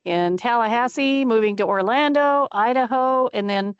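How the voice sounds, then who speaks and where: A woman's voice: on the high side, normal speed, dry recording, highs cut off. An older retired woman chatting through introductions as committee chair on a call. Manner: chatty, friendly, with fillers. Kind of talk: meeting introductions.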